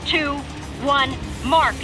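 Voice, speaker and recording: A woman acting a determined military aircraft commander in a video game, giving crisp, clipped orders. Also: sits high, fairly even intonation, extremely slow. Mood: commanding, focused. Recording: loud music behind the voice.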